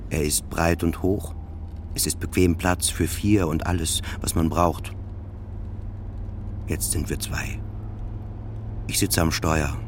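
A despairing father in a radio play, with a very low voice. He confesses in short, halting phrases that circle back on themselves, intimate and full of self-loathing. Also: slight background noise, exaggerated voice. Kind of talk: intimate first-person radio play monologue.

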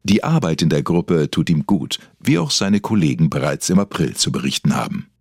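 A man with a husky voice reading scripted documentary narration in a formal, deadpan manner. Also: extremely low pitch, normal speed, no echo, clean studio sound.

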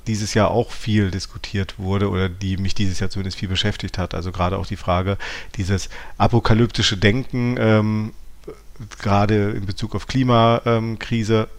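A man co-hosting a podcast, talking analytically with hesitant starts and frequent rephrasing. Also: lowish voice. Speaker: podcast co-host.

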